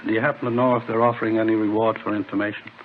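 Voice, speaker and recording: A man playing an ingratiating American hotel clerk, low-voiced and quick. He hedges and wheedles like a money-minded informant in old radio drama, heard on a thin, tinny recording. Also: little pitch movement, a little hiss or hum, close to an everyday voice. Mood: hedging, wheedling. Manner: hedging, wheedling, talkative. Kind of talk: 1950s radio drama acting.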